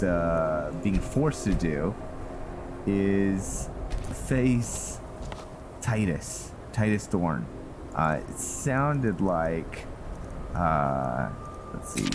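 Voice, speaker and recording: A man giving unscripted game commentary, thinking aloud in a halting, musing way. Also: sits low. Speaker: roleplay gamer, series host.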